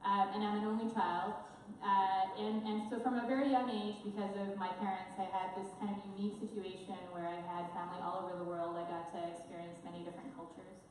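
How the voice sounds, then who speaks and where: A woman's voice: medium pitch, flat delivery, quick pace, a little mellow, slight background noise. A middle-aged woman from Venezuela whose first language is Spanish tells her personal story on a conference stage, candid, with many fillers and asides. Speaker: conference speaker. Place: large conference hall. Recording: echoey hall from far off.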